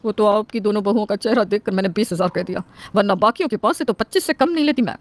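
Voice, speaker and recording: Fast, cheeky housemaid voiced by a woman. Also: mid-range voice, lightly acted. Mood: defiant. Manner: saucy, talking back. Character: cheeky, sharp-tongued, shrewd older housemaid.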